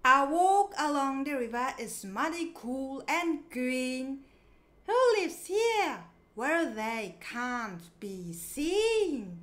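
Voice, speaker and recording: A woman with a high voice reads a picture book aloud for small children. Her intonation is very lively and sing-song. She goes extremely slowly, pronouncing each word clearly for young learners. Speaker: children's storybook reader.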